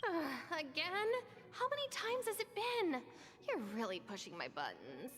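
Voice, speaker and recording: An actress playing a young woman in a video game, with casual, expressive delivery. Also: sits high, measured pace, heavily acted. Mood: exasperated. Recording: reverberant, music underneath.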